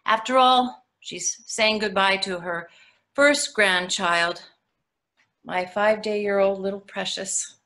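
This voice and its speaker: A woman playing a frazzled, sardonic mother in an expressive dramatic monologue reading over a video call. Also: medium pitch, slow pace. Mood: sardonic impatience. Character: frazzled, sardonic new mother, sometimes mimicking her own mother.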